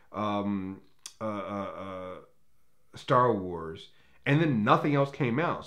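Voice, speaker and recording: American man giving an opinionated film review. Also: lowish voice. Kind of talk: unscripted opinionated movie review.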